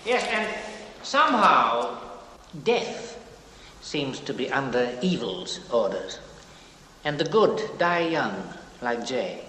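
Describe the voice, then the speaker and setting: A grandiose, pious sect leader in an echoey hall. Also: male voice, mid-range voice, very animated, pushed and bright, heavily acted. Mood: smooth. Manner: pious, ingratiating, theatrical pauses. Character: smooth, grandiose Norwegian-born male sect leader. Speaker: cult leader. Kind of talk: 1960s television drama acting. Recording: echoey hall, faint hiss.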